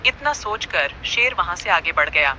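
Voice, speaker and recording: A man's voice: high-pitched, expressive, moderate pace, bright, forceful tone, a little hiss or hum, poor audio. A man narrating a scripted animal fable as a storyteller.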